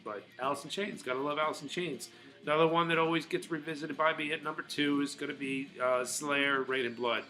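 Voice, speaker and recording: A man's voice: a little high, fairly even intonation, a little fast, bright, forceful tone, faint music behind, poor audio. A middle-aged man rambling casually to his viewers, loose and full of filler words and restarts. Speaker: vlogger.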